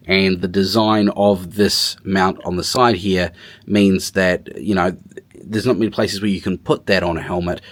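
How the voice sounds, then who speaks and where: Low-voiced man, casual gear reviewer. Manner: informal, thinking aloud. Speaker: motorcycle tech reviewer. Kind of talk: casual hands-on product demo and review.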